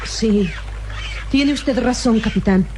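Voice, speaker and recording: Scheming young accomplice, cold, deferential, faint hiss, old radio serial. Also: female voice, fairly high pitch, slow pace, dark tone. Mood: resolved. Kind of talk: 1960s Mexican radio drama acting.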